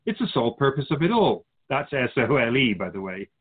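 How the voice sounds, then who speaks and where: A man playing an otherworldly, wise spiritual guide in a radio drama, measured and instructive with gentle amusement. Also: medium pitch, very animated. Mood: serene, knowing. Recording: slightly muffled, phone-like narrow sound.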